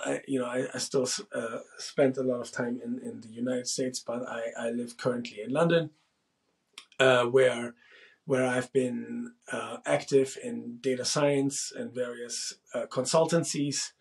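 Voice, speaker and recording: A man's voice: mid-range voice, vocal fry, fairly bright tone. A man in his forties, the host, talking thoughtfully to camera from a small room, often restarting his sentences. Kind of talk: casual monologue to camera.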